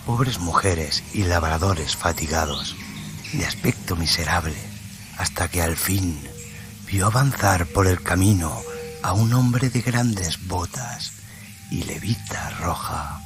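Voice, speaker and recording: A man narrating a story in a dramatic radio-play style, solemn and suspenseful, with phrase endings that drop to a hush. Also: deep voice, takes it slowly. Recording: music bed under the voice. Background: music.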